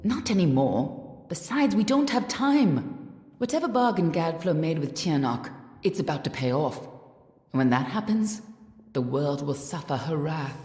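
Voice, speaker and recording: An actress playing a stern, guarded warrior woman in a fantasy game, speaking in a cool, serious, measured way. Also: low voice, big pitch swings, darkish tone, breathy edge, exaggerated voice. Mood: urgent. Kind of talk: dramatic fantasy game dialogue.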